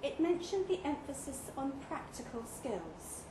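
A woman's voice: high voice, big pitch swings, measured pace. A woman reading a first-person literary short story aloud at a live event in a pub. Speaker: story reader. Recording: roomy live pub recording, clear background noise.

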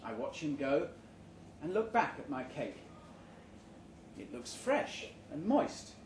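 A man with a fairly high voice narrates a short story at a live reading. His delivery is measured and dry. The room echoes around him, with a little background noise. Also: sing-song. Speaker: story reader. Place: indoor live reading event before an audience.

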